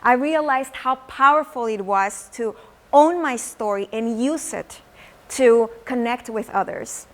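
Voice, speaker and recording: High-voiced Mexican woman. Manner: slow, measured poetic delivery with Spanish words. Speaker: teaching artist. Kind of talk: spoken word poetry and teaching.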